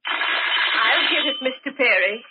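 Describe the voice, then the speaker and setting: Household maid: deferential, polite, fairly high voice, muffled vintage radio recording. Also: female voice, expressive, slow pace. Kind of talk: old-time radio drama acting. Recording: muffled, faintly noisy old radio recording.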